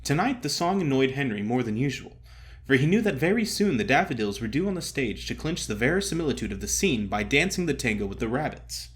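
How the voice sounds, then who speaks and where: Animated male storyteller with varied pitch. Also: brisk. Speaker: narrator, actor. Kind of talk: dramatic comic story narration.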